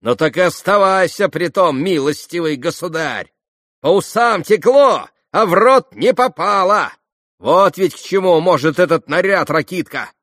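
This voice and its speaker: Storybook role: proud, volatile young woman, played by a man, shrill and high, swinging between spite and anguish. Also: lively intonation, measured pace, dry recording, studio quality, lightly acted. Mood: bitter spite.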